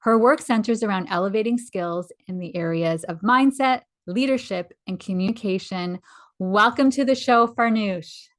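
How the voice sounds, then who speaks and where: A woman hosting a leadership podcast. She introduces her guest and asks questions in an upbeat, gracious tone. Her voice sits at a medium pitch. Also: moderate pace. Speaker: podcast host. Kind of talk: podcast interview.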